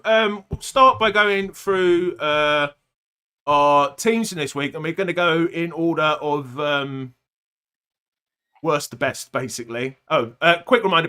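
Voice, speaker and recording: A man's voice: a little high, pushed and bright, clear, resonant tone. A man hosting a casual football fantasy podcast, chatty and full of little fillers. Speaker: podcast host.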